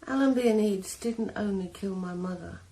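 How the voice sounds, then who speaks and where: A woman playing a cold, calm young killer. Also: mid-range voice, natural voice, low-quality recording. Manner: calm, measured, quietly cold. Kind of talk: 1980s radio drama acting. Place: sauna.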